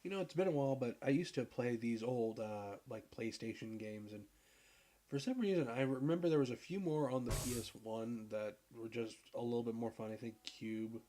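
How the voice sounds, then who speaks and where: A man with a deep voice, hoarse from a cold, giving relaxed, rambling commentary while playing a puzzle game. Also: moderate pace. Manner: relaxed, rambling, clears throat and laughs at himself. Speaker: gaming video creator. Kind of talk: casual gameplay commentary.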